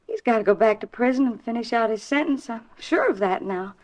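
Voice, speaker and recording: An actress playing an anxious, pleading wife in a 1950s radio drama, speaking hesitantly and earnestly. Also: female voice, fairly high pitch, a little fast, a little mellow, close to an everyday voice. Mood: resigned, sad. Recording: dull vintage 1950s radio recording.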